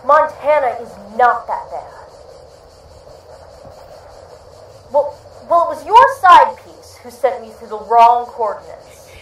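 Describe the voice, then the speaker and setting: A woman acts a petulant, wealthy spouse in a radio play. The voice is high and swings widely, blustering and indignant, with stammers when flustered. Clear ambient noise sits behind it. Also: very animated, unhurried, fairly bright tone, rough recording. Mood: incredulous. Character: entitled, impractical rich spouse, petulant and indignant.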